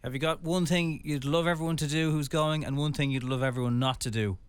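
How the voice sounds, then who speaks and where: A man's voice: on the high side, steady tone, fast talker, smooth, dry recording. A man co-hosting a radio show, chatting in a playful, jokey way. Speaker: radio breakfast show co-host. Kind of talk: casual radio chat.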